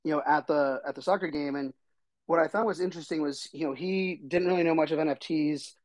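Slightly hoarse man hosting a podcast. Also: a little high, brisk, no echo. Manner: rambling, chatty, many fillers. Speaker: podcast host. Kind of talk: casual solo podcast talk.

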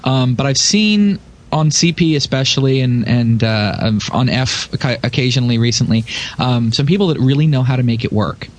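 A man's voice: medium pitch, lively intonation, normal speed. A man with a mid-range voice talks as a podcast panelist in a casual gaming discussion. He explains his points with emphasis and walks through examples as he goes.

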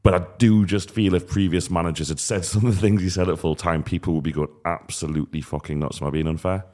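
Podcast host, male, very deep voice, blunt and frustrated, drops the odd swear. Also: fairly even intonation, quick pace, a little mellow. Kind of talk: frustrated football podcast discussion.

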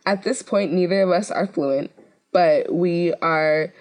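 Chatty young woman, lowish voice. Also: slow, darkish tone. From United States. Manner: chatty, filler-heavy storytelling with many "like"s. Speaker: co-host. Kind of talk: casual scripted web video talk.

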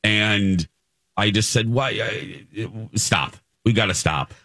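An American man co-hosting a casual radio chat, with a dramatic, halting delivery and repeated phrases for emphasis. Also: fairly low pitch, pushed and bright, no echo, clean studio sound. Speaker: radio show co-host.

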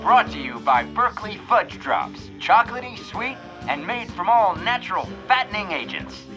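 An actor playing a theatrical old-time radio serial announcer with a booming delivery. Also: male voice, very animated, normal speed, over music, rough recording.

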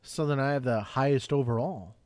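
A man co-hosting a casual podcast, excitable and rambling, with a fairly high voice. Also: fairly quick, no echo, clean studio sound. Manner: excitable, rambling, talks in bursts. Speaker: podcast co-host.

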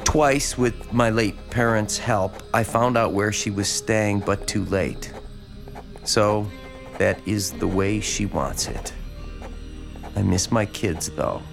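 A lonely, abandoned small-town man in an audio drama, obsessed with horror fiction. His low voice is gravelly and very slow. He speaks earnestly and haltingly, with an awkward familiarity. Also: over music. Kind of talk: dramatized letter read as monologue.

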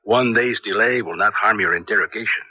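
An actor playing a stern, scheming army colonel with a Spanish accent, measured and commanding, in a radio drama. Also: male voice, fairly low pitch, pushed and bright, husky, heavily acted. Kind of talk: 1970s radio drama acting. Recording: dull lo-fi old radio broadcast.

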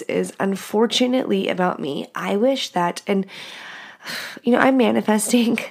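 A woman in her thirties talks alone on her podcast with a rough, gravelly rasp. Her voice is nasal and stuffed up from a cold. She swears freely and talks frankly, laughing at herself. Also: mid-range voice, a little mellow. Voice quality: raspy, nasal and congested from a cold. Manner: blunt, profane and candid, laughing at herself. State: congested with a cold. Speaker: podcast host. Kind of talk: casual solo podcast monologue.

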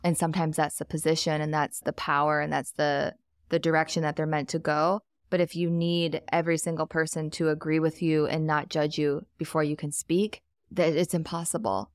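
A woman with a very low voice and a gravelly fry speaks as a podcast guest. She talks in an earnest, emphatic and reflective way. The sound is dry and close. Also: little pitch movement, normal speed, no echo. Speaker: podcast guest, wealth coach. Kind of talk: casual podcast conversation.

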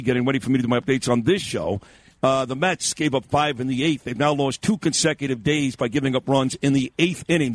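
A male radio host chatting brashly and joking, mixing news talk and sports updates. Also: medium pitch. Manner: brash, joking, rapid asides.

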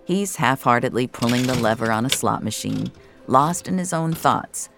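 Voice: mid-range voice, animated, normal speed, vocal fry, music in the background. A narrator reading first-person audio fiction in a wry, colloquial way.

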